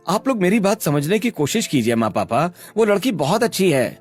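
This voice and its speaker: A man's voice: high-pitched, big pitch swings, fairly quick, breathy edge, faint music behind, full character voice. A man voicing a devoted young tea-seller in love, sincere and reassuring, in cartoon story dialogue. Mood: pleading.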